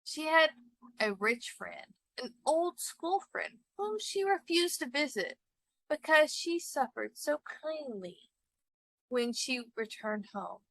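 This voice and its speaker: A woman reading a literary short story aloud with deliberate, expressive, dramatic phrasing. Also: sits high, dry recording, low-quality recording. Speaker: story reader.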